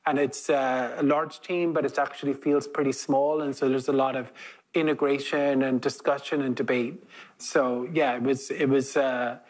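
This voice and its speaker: A man of about fifty with an Irish accent, answering as a former official in a panel conversation, fluent and steady with frequent fillers. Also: mid-range voice, normal speed. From Ireland. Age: around 50.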